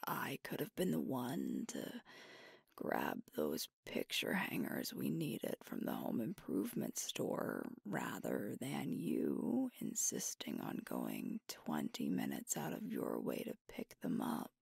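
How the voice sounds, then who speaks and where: A woman voicing a sweet, devoted werewolf girlfriend in an ASMR roleplay, whispering tenderly and unhurriedly with a breathy voice close to the mic. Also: very deep voice, huge pitch swings, a little mellow, no room sound, exaggerated voice. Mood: gentle concern. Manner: tender, earnest, soothing, unhurried. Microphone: close microphone, dry.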